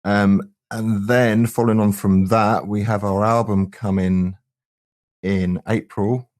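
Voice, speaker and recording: A man's voice: lowish voice, level tone, slow, a little mellow. A man chatting earnestly and enthusiastically as an interview guest from a band. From England, UK. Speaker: band singer and guitarist, guest. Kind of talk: casual interview chat.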